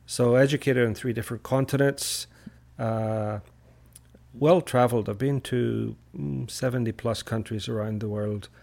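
A middle-aged man from Northern Ireland, a podcast guest speaking in measured, rather formal wording. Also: medium pitch, fairly even intonation, vocal fry, a little mellow.

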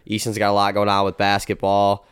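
A man's voice: on the low side, monotone, fairly quick, a bit bright, clean tone, no room sound, studio quality. A young man hosting a sports podcast, his voice stuffy and congested from a blocked nose. He chats casually and rambles, with many fillers and restarts. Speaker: podcast host.

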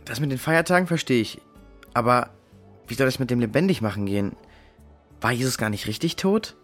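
A man's voice: medium pitch, animated, brisk, a bit bright, faint music behind. An actor playing a curious, sceptical boy who asks blunt questions in a children's audio drama. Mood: sceptical, puzzled. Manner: questioning, colloquial. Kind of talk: German children's radio play acting.

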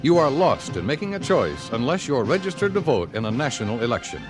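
A man's voice: medium pitch, big pitch swings, brisk. An American man working as a radio announcer, reading scripted copy in 1950s broadcast style. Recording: vintage radio broadcast with music.

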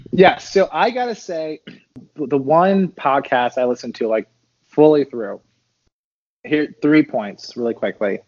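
A man's voice: fairly high pitch, animated, a little slow, darkish tone. Guy guesting on a podcast, loose n jokey, kinda hesitant, muffled lo-fi recording. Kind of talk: casual interview chat, bantering.

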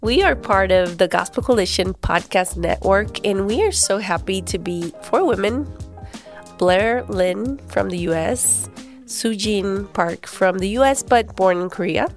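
A woman's voice: medium pitch, expressive, a little slow, faint music behind. A woman, hosting a podcast and telling a personal faith story in an earnest, conversational way. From Guatemala. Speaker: podcast host.

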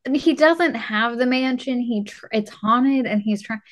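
A woman's voice: on the high side, measured pace. A woman co-hosting a podcast with playful, joking, casual banter. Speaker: podcast co-host.

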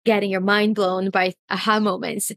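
A woman's voice: medium pitch, flat delivery, normal speed, fairly bright tone, no echo, studio quality. A woman from Brazil, a guest in an interview, talking in an earnest, searching way with many fillers and restarts. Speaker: guest, retreat facilitator. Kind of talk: reflective conversational interview.

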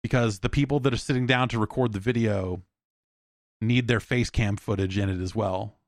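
A man's voice: on the low side, steady tone, normal speed, no echo, clean studio sound. A man hosting a podcast, rambling through anecdotes in a blunt, informal, sweary way. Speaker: podcast host. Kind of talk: casual rambling solo talk with anecdotes.

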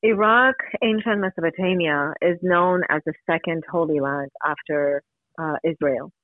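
A woman's voice: sits low, moderate pace, dry recording. Over a narrow, dry telephone line, a woman from Iran gives an earnest interview. She speaks with emphasis, sometimes posing questions and answering them herself. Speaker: interview guest, human rights advocate. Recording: thin telephone-like radio line.

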